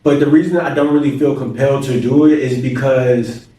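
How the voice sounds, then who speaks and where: A man's voice: mid-range voice, steady tone, a little fast, mellow, recorded from a distance. A young American man hosting an interview, thoughtful and curious, with lots of "like" fillers. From United States. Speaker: podcast host. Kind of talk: casual interview conversation. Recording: echoey room, mic set back.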